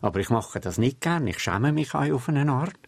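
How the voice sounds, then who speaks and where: A man's voice: medium pitch, lively intonation, moderate pace, vocal fry, no echo. An older man with a Central Swiss German accent, a literary scholar answering interview questions thoughtfully and emphatically, with frequent hesitations. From Central Switzerland. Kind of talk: reflective interview talk.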